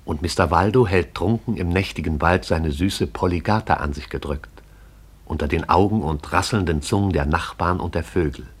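Deep, husky radio play narrator. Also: male voice. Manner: measured, poetic.

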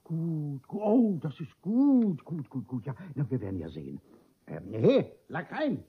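Husky, vain, dithering rich gentleman. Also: a little high, huge pitch swings, measured pace, full character voice. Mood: eager, fussy. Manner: blustering, dithering, repeats himself. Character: vain, gullible, bossy wealthy gentleman, childishly eager and dithering. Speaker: actor in a radio play. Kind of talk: German radio comedy acting of a classic stage play. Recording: dull, band-limited old radio recording.

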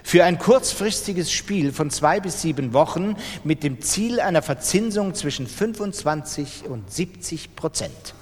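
A man's voice: fairly high pitch, lively intonation, measured pace, few pauses. A man giving a scripted reading of classified ads as part of a radio drama. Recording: long reverb, noisy background.

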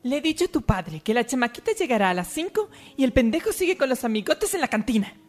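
Woman acting excitable grumbling mother, mock Latin American accent, high pitch, parody radio soap. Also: quick pace, soft background music. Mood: exasperated.

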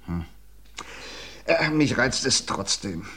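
An actor playing a calm, methodical police inspector in a vintage radio crime drama. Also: male voice, mid-range voice, slow, husky, exaggerated voice. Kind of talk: 1950s radio play acting.

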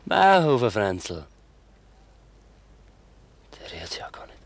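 A man's voice: lowish voice, very animated, slow pace, no room sound, heavily acted. An actor playing a rattled, simple village man in a 1950s Bavarian dialect radio play, given to muttering to himself and calling out. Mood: shocked, stammering. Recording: 1950s radio production, outdoor noise behind.

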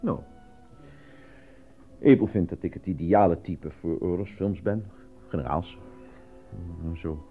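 An actor in a Dutch radio play voicing a proud, defensive, self-important out-of-work actor and husband. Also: male voice, low voice, a little slow, long pauses. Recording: dull lo-fi radio recording, faint music.

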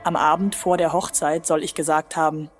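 A woman's voice: low-pitched, steady tone, fairly quick, soft background music, close to an everyday voice. A woman playing a proud, sorrowful village bride and mother in her forties, reciting in a composed radio play manner. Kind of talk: literary radio play acting.

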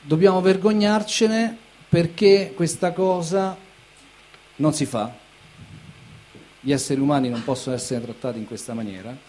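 Man, mid pitch, mellow tone, emphatic moralizing public speech, faint ambient noise. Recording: noisy recording with ambient sound.

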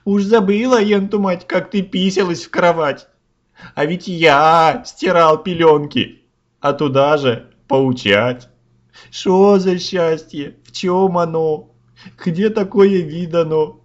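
A man's voice: a little high, slow pace, highs cut off, pitched above the performer's own voice. A man voicing an exasperated, blustering tsar who scolds in crude folksy rhyme, in a comic fairy tale. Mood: exasperated scolding. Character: exasperated, blustering male tsar, father of a teenage girl.

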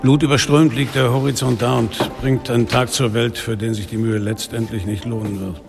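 A man acting as a disillusioned police inspector, middle-aged, with a gravelly, fry-laden voice. He speaks in a dry, sardonic, brooding way, as in a noir radio play, with music playing clearly underneath. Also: medium pitch, level tone, normal speed, lightly acted. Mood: brooding, weary. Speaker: actor, first-person narrator in a radio play. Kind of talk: noir radio drama narration and dialogue.

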